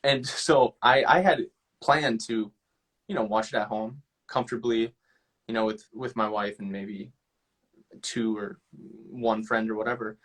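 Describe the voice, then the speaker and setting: A young adult man hosting a casual live chat, relaxed and affirming as he talks. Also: lowish voice, measured pace. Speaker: host.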